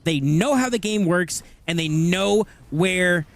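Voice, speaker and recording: A man's voice: high voice, takes it slowly. A man hosting an esports stream, chatty and talking straight to the chat. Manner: chatty, addresses chat directly. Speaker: stream host. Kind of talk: casual stream commentary.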